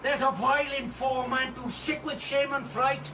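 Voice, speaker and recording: An actor playing a gloating gang patriarch making an oratorical speech to followers in a radio drama, full of veiled threat. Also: male voice, extremely high pitch, flat delivery, normal speed, noisy background. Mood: menacing, gloating. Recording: echoey room, distant, loud crowd.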